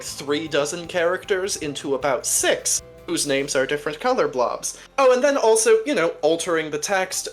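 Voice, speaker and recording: Casting: conversational speaker with a medium-pitched voice, faint music behind. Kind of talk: casual chat.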